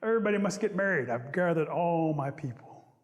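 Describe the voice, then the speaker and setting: Man voicing an excitable high-voiced chief, echoing hall. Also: a little fast, close to an everyday voice. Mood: commanding. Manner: declaring to all his people. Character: excitable, commanding village chief of the animals. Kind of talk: oral animal folktale.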